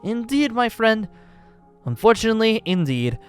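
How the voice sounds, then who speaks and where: A man's voice: high-pitched, very animated, normal speed, clear, resonant tone. A man playing a boastful, jovial ancient dragon slayer wizard in a theatrical, formal manner for an improvised Minecraft roleplay. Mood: resolute. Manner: theatrical, grandly formal, chuckling. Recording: background music under the voice.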